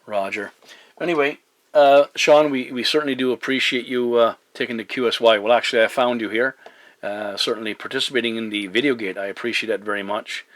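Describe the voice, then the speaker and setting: A middle-aged man chatting as a radio operator, courteous and measured, with hesitant phrasing and operator jargon. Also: mid-range voice, fairly even intonation, dry recording, low-quality recording. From Newfoundland, Canada. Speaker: amateur CB radio operator hosting a video contact.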